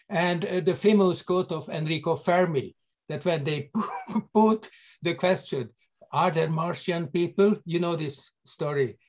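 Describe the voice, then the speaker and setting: A man's voice: fairly high pitch, measured pace. A man in his sixties hosting a video call from a book-lined study, chatty and fond of telling anecdotes. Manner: chatty, tells anecdotes with chuckles. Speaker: host. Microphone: video call webcam.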